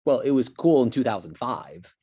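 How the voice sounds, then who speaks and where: Woman as a very deep, husky freeloader. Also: expressive, normal speed, mellow, heavily acted. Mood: impressed. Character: freeloading adult housemate, nosy, cheeky, immature. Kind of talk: table read of a comedy script.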